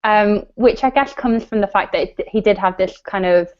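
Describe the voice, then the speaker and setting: A woman's voice: medium pitch, moderate pace, darkish tone. A woman hosting a casual book chat, talking in a conversational, hesitant way with plenty of filler phrases. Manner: hesitant, conversational, many "like" and "kind of". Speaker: live show host discussing books. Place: video call live stream. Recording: dull lo-fi online call audio.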